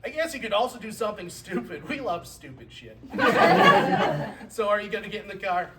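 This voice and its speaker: An actor playing a brash, foul-mouthed but warm-hearted uncle and sports fan in a comedy table read, with a folksy, blunt delivery. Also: male voice, high voice, huge pitch swings, normal speed. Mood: encouraging. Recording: distant mics, noisy room, clicks.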